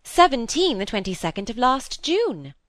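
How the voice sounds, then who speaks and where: A woman's voice: a little high, sing-song, normal speed, a bit bright, no room sound, clean studio sound, pitched above the performer's own voice. A woman voicing a timid, sentimental older spinster from a storybook in a tender, piping voice. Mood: gently correcting.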